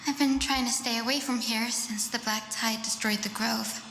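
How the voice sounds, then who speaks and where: An actress playing a gentle, wistful young woman in a game, speaking in a sweet, reflective manner. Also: a little high, steady tone, normal speed. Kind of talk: dramatic game voice acting. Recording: echoey hall, faint ambient noise.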